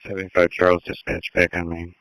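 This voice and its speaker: A man's voice: low voice, monotone, brisk, a bit bright. A man giving terse emergency call signs and requests over a two-way radio. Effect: two-way radio transmission. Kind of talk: scanner radio traffic. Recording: faintly noisy, clicking radio channel.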